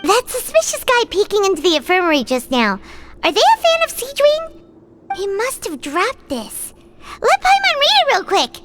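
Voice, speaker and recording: Tiny excitable fairy sidekick for a game: extremely high, squeaky, swooping delivery over prominent music. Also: female voice, normal speed, full character voice. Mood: inquisitive. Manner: speaks of herself in the third person, exclaiming. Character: small childlike floating fairy companion, excitable, food-loving. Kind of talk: video game character dialogue.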